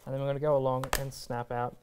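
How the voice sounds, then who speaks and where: A man's voice: medium pitch, level tone, fast, noisy background. A man in his twenties presenting a casual step-by-step product tutorial in a reassuring, easygoing way. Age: 20s. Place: indoor shop video studio, standing at a table.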